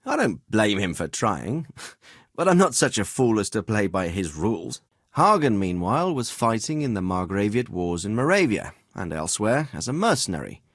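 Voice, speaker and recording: An actor playing a dignified, fatherly middle-aged nobleman in a medieval video game, speaking in formal, deliberate phrases. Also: fairly low pitch, sing-song, a bit bright, no echo, clean studio sound, full character voice. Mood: measured, counselling. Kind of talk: scripted video game dialogue.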